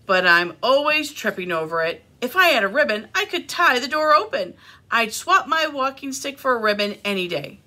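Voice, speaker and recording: A woman's voice: on the high side, big pitch swings, normal speed, sharp tone, voice pushed up, exaggerated voice. Woman reading as a practical, grumbling storybook badger. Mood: mild complaint. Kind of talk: children's storybook read-aloud.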